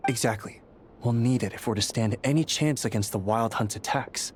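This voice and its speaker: A man's voice: mid-range voice, normal speed, slight background noise, natural voice. A man voicing an earnest, dutiful young squad leader in a video game, explaining things in a friendly way. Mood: determined. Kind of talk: English video game character acting. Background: background music.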